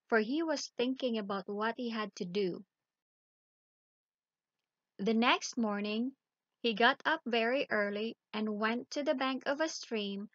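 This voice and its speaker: A woman storyteller reading a children's bedtime story aloud in a measured, deliberate way. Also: fairly high pitch, a bit bright, no echo, dull audio.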